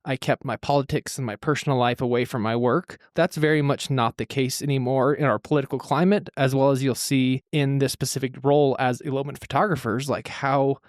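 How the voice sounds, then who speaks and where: A man's voice: mid-range voice, fairly even intonation, a little fast, hardly any pauses, no echo, clean studio sound. A man co-hosting a podcast, talking in an easygoing, agreeable way with lots of hedging. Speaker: podcast co-host. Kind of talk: casual podcast chat.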